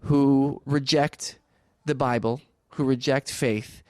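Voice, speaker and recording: A man speaking as an interview guest, arguing persuasively and emphatically, with rhetorical questions and deliberate pauses for stress. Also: mid-range voice, steady tone, a little mellow, no room sound, clean studio sound. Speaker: interview guest, archaeology advocate. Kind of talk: persuasive spoken argument in a radio interview.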